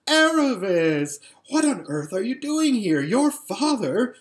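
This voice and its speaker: A woman's voice: deep voice, sing-song, normal speed. A woman voicing a vain, lazy, giggly young noblewoman in a storybook, with an affected drawl and gushing manner. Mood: loud, surprised.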